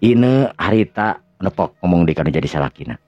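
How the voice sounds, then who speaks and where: A man with a Sundanese accent and a gravelly, husky voice, narrating a tale in the manner of a radio storyteller. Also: sits low, animated, brisk, dry recording. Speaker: storyteller and channel host. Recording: dull lo-fi recording with music. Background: music.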